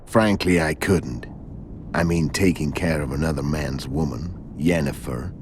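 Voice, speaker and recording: An actor playing a hulking, cynical video game assassin, calm and menacing, with a gravelly voice and sardonic manner. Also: male voice, background noise. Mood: wry. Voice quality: very deep, gravelly. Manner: slow, sardonic.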